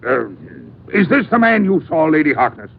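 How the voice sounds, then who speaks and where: An actor playing a strict, pompous ship captain in a 1950s radio drama. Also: male voice, a little high, sing-song, normal speed, gravelly, slightly rough, exaggerated voice. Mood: stern. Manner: stiff, authoritative. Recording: tinny noisy 1950s radio broadcast.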